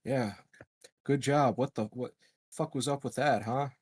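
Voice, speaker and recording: A man's voice: medium pitch, measured pace, slightly rough. A man playing a tabletop game, chiming in with casual remarks. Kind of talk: casual chat.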